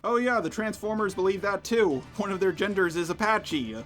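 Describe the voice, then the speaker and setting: A man with a bright, forceful voice pitched a bit high delivers mocking reaction commentary. Faint music plays underneath his talk. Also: normal speed. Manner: sarcastic, mocking. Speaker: commentary youtuber.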